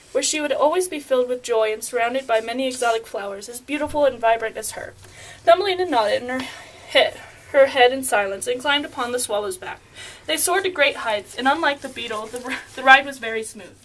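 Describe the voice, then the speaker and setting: A woman's voice: high-pitched, fairly bright tone, slight background noise, rough recording. A young woman narrating a scripted fairy tale as storyteller, stumbling over words now and then as she reads.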